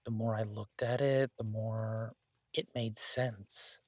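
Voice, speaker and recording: Husky, casual boyfriend chef played by a man. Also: lowish voice, steady tone, a little mellow, no room sound, clean studio sound. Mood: earnest, reassuring. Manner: casual, halting, reassuring. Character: easygoing, earnest boyfriend, a chef in a fantasy world. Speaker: audio roleplay performer. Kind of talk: intimate one-sided audio roleplay, conversational.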